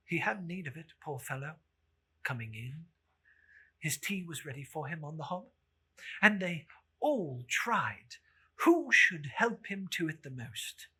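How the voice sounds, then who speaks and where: A story narrator giving a theatrical, measured dramatic reading of a literary tale. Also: medium pitch, pushed and bright, breathy edge.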